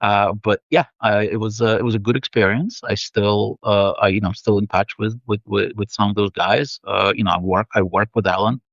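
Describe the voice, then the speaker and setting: A man's voice: lowish voice, steady tone, brisk, gravelly, few pauses, fairly bright tone, dry recording, highs cut off. A man whose first language is Russian, from the Soviet Union, a guest chatting thoughtfully on a video call with many fillers and restarts. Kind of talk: casual interview chat.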